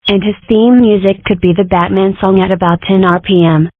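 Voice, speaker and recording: A woman's voice: mid-range voice, steady tone, brisk, no room sound, close to an everyday voice. A woman plays a self-important little girl from a comic strip dub. She declares things grandly and rambles off into whimsy. The recording is dry and narrow, like a phone line. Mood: whimsical, opinionated. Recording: muffled, phone-like narrow audio.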